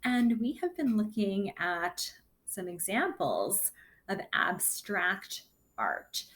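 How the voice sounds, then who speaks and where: A woman speaks as a kindergarten teacher reading aloud to young children. Her pace is slow and deliberate, broken into short, simple phrases. Her medium-pitched voice rises and falls with lively intonation.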